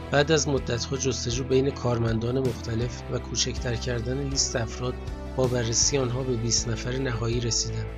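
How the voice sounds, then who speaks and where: A man with an Iranian Persian accent narrating a scripted documentary in a measured, formal storytelling manner. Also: medium pitch. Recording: music bed under the voice.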